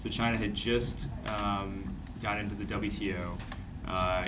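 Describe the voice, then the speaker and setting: Deep-ish guy on a panel, explains things clearly, noisy background. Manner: fluent, analytical. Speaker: alumnus panelist. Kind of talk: answering a question on a panel. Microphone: handheld microphone.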